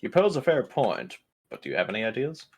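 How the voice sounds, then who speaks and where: A man's voice: mid-range voice, wildly swooping pitch, fairly quick, slightly rough. A man playing a tabletop roleplaying game, speaking casually in and out of character and often thinking aloud with hesitations.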